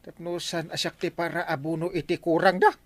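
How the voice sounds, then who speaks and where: A man's voice: a little high, flat delivery, fairly quick, no room sound, lightly acted. A man with an Ilocano accent playing a fretful village captain who worries over money, in an Ilocano radio comedy drama. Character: Kapitan Enteng, village captain, fussy.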